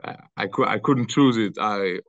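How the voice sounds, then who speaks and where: Young guy from austria talking on a video call, lowish voice, kinda stops and repeats himself, muffled dry sound. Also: no echo. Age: young adult. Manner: loose, halting, repeats words. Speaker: interview guest, football player. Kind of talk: casual interview answers. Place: bright room indoors. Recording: dull lo-fi video call audio.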